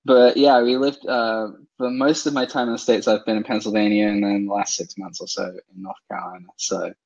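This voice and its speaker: A man from England, a guest in a casual interview, talking with many fillers and soft laughs. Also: lowish voice, little pitch movement, a little fast, gravelly, lo-fi sound. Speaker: interview guest, online seller.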